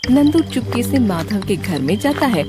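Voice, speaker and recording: A woman's voice: on the high side, very animated, moderate pace, over music. A woman reading scripted storybook narration for a children's cartoon.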